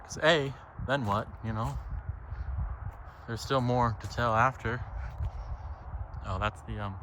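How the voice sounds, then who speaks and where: A man thinking aloud in a halting, casual, reflective monologue while walking outside on a trail. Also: medium pitch, sharp tone. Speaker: solo vlogger. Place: outdoors, walking on a trail by a lake. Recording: outdoor ambient noise.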